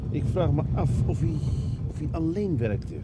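A man acting a curious, stubborn senior police detective, musing and gently commanding in a naturalistic radio drama. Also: on the high side, animated, moderate pace, lightly acted. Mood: measured, reflective. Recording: very noisy recording, car and traffic. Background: car and vehicle noise.

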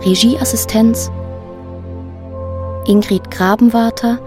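A woman announcer reading scripted radio drama credits in a measured, plain way. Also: a little high, fairly even intonation. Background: sad violin music.

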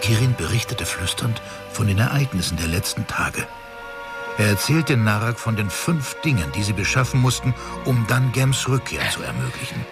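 An actor narrating a fantasy radio drama as a storyteller, with expressive, measured delivery. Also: male voice, on the low side. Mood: hushed storytelling. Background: clear music.

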